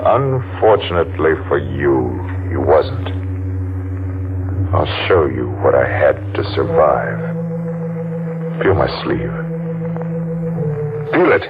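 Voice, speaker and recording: Very deep-voiced menacing avenger. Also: male voice, lively intonation, over music, heavily acted. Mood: bitter, vengeful. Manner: menacing, taunting, deliberate theatrical delivery. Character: masked, vengeful maimed survivor, taunting accuser. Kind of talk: old-time radio thriller acting. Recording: muffled, noisy old-time radio recording.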